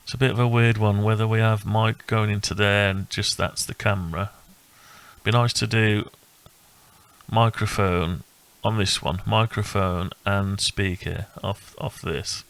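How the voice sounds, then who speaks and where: Male hobbyist presenter: low, fry-heavy voice, very even intonation, casual halting walkthrough. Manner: thinking aloud, halting and rambling. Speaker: electronics hobbyist presenting own build. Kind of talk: casual unscripted project walkthrough.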